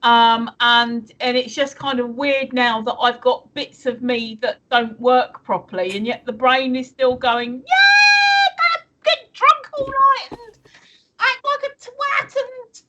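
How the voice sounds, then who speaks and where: A woman's voice: high-pitched, slow pace, bright, forceful tone, slight background noise. A woman in her fifties co-hosting a live stream, chatting cheekily with some swearing. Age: 50s. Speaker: stream co-host. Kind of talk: casual chat. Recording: dull lo-fi stream audio.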